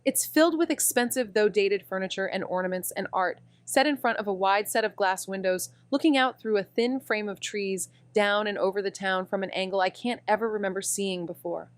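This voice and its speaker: A woman's voice: medium pitch, a little fast, hardly any pauses, clear, resonant tone, natural voice. An actress playing a wry young woman investigator who also narrates the noir story. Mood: wry narration. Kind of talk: noir audio drama narration.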